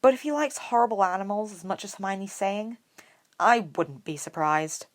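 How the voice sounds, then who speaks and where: A woman's voice: mid-range voice, normal speed, lightly acted. A woman voicing a curious, teasing schoolboy in an audiobook, with an offhand, easygoing manner. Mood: discreet.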